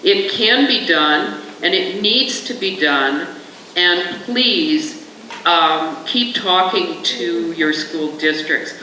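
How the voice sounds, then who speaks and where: A woman's voice: on the low side, expressive, slow, sharp tone, slight background noise. A woman, a school trustee, making an earnest, rambling point from the audience at a panel. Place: large conference hall. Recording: echoey hall, mic from a distance.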